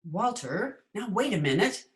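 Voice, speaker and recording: A woman playing a quick-witted schoolteacher in an old-time radio comedy, with a dry, wisecracking delivery. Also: medium pitch, very animated, a little hiss or hum. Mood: alarmed. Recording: remote home recording over video call.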